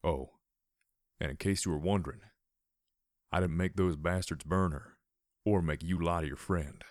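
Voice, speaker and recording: A man voicing a gruff, weary old male pony with a folksy, taunting manner, in an audiobook-style story. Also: sits low, normal speed, no room sound, clean studio sound, natural voice. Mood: bitter, defensive.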